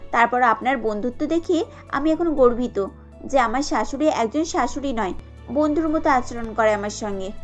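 A woman playing a timid, caring young daughter-in-law in a cartoon family drama, speaking earnestly and deferentially. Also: high voice. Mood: grateful.

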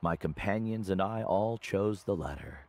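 An actor playing a kindly middle-aged uncle and veteran guide in a video game, measured and earnest. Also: male voice, low voice, darkish tone. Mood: solemn. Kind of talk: video game character acting.

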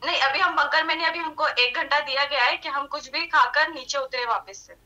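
A woman's voice: high-pitched, brisk, pushed and bright, highs cut off. A woman in her twenties with an Indian accent giving an earnest account as an interviewee over a phone video call. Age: 20s. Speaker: stranded student interviewee. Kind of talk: earnest live account and appeal.

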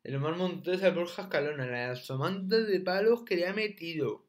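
A man's voice: sits high, normal speed. Young man mocking fights on webcam. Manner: mocking, repetitive exclamations. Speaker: reaction video host. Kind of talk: casual reaction commentary.

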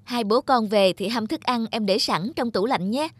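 A woman playing a busy, vain young wife and mother in an animated drama dub. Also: a little high, normal speed, slightly rough, no echo. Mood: brisk.

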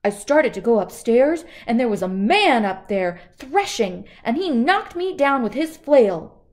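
A woman's voice: a little high, very animated, unhurried, darkish tone. A woman voicing a frightened male robber telling his story in a breathless, urgent way.

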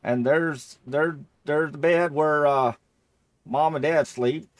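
A man's voice: on the high side, fairly even intonation, slow, no room sound. A middle-aged man giving casual, rambling, easily amused commentary as he plays a game. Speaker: gamer playing through a game.

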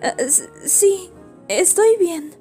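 A woman's voice: very high-pitched, big pitch swings, extremely slow, warm tone, faint music behind, pitched above the performer's own voice, exaggerated voice. A woman with a Latin American Spanish accent voicing a flustered, dramatic teenage heroine in a fan comic dub. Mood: shy.

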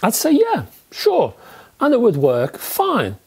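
A man's voice: very high-pitched, wildly swooping pitch, slow, husky. A man in his fifties presenting a scripted product review to camera in an emphatic, conversational manner with rhetorical asides. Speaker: audio equipment reviewer. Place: small indoor room with record shelves.

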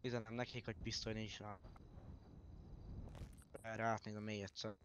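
A man's voice: on the low side, level tone, noisy background. A man in online game roleplay playing a police officer, speaking matter-of-factly over muffled, distant voice chat. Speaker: fellow online roleplay player, acting as police.

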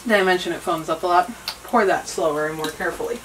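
A woman's voice: lowish voice, fast. An American woman in her thirties giving a casual, chatty kitchen demonstration with rambling asides. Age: 30s. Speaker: vlogger demonstrating home canning. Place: small home kitchen. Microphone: camera a few feet away. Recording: distant camera mic, clearly noisy room.

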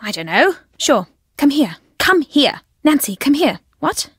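A woman's voice: sits high, sing-song, measured pace, no echo, clean studio sound. A woman voicing a curious young female detective in a video game, delivering short reactive lines. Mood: plain.

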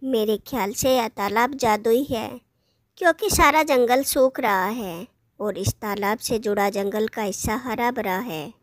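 A woman's voice: fairly high pitch, measured pace, no echo, studio quality, lightly acted. A woman voicing a young forest fairy, concerned and helpful.

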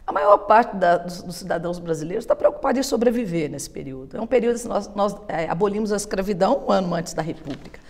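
A woman in her fifties, a researcher answering interview questions in a steady, explanatory way in a TV studio. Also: medium pitch, quick pace, few pauses, big-room sound. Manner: steady, fluent, explanatory, with frequent tag questions. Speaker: historian guest. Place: television studio. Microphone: lapel mic.

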